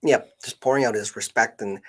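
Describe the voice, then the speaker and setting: A man hosting an informal live stream, telling stories in a halting way, often repeating and restarting his phrases. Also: on the low side. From Canada. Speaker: live stream host, genealogist.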